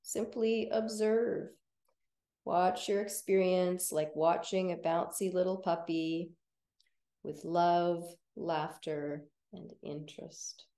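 Woman, yoga nidra teacher, very slow guided meditation, creaky low-leaning voice, soothing, roomy echo. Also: fairly even intonation. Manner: soothing, gentle, unhurried with long pauses.

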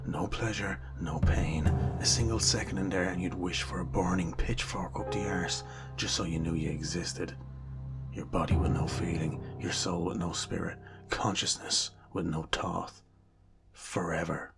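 A man's voice: low-pitched, fairly even intonation, moderate pace, gravelly, over loud music. A man playing a grave, theatrical devil in a radio drama, prone to dramatic speeches of doom. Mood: grave, ominous. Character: male devil, dramatic doom-preaching lover of an angel.

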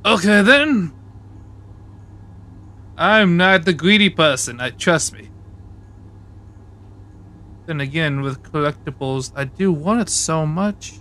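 A man's voice: fairly high pitch, expressive, slow, long pauses, sharp tone, exaggerated voice. A man voicing a covetous, conflicted embodiment of greed in an improvised comedy game dub.

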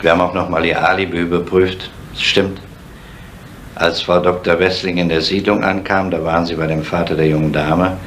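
An actor playing a gruff, sceptical older inspector in a vintage crime drama, questioning people in a dry, matter-of-fact way. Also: male voice, low voice, little pitch movement, brisk, a bit bright. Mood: dry, composed. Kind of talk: 1970s German television crime drama acting. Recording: noisy vintage drama soundtrack.

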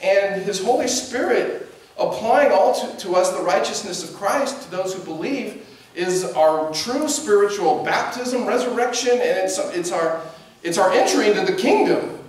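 A man in his forties, a pastor preaching a sermon from the pulpit in a conversational, animated way, with lively gestures. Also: sits high, moderate pace. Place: church sanctuary at a pulpit. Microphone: distant camera mic. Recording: very echoey church from a distance.

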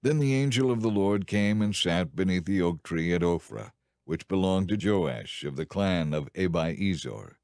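A man reading as an audiobook narrator in a dramatized, solemn and measured scripted Bible narration. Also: low-pitched, lively intonation, a little mellow, no room sound, clean studio sound.

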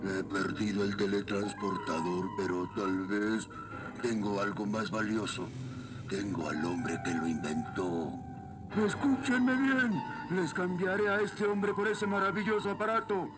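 A man's voice: lowish voice, a little slow, natural voice. A man playing a menacing, gloating villain's henchman in a cartoon dub, with a Latin American Spanish accent. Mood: sly, then threatening. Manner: gloating, threatening. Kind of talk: dubbed cartoon villain acting. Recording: music behind the dialogue.

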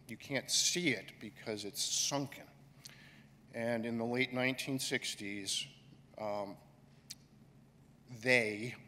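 Slow, deliberate middle-aged man in a hall. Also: mid-range voice, a bit bright. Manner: slow, deliberate and emphatic, with pauses and gestures. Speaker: conference director giving a keynote. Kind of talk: keynote speech. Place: large auditorium stage, indoors. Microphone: podium microphone. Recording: reverberant hall with faint room noise.

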